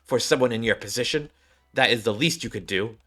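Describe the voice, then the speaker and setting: A man's voice: mid-range voice, fairly quick, bright, forceful tone. A man voicing an indignant woman soldier who speaks in formal, archaic diction in a video game story. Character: angry archaic-speaking woman soldier with a sword, confronting her commander.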